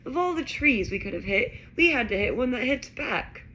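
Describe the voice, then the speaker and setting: A woman's voice: a little high, lively intonation, normal speed, pushed and bright, voice pushed up. A woman voicing a panicky, exasperated young wizard boy in a storybook reading, with some background noise. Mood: miserable, exasperated.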